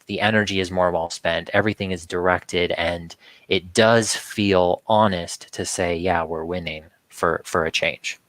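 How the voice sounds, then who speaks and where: Male talk show guest, low register, dry sound, halting conversational delivery with repeats. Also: a bit bright, no room sound. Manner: halting, repeats words and restarts sentences. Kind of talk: casual rambling chat.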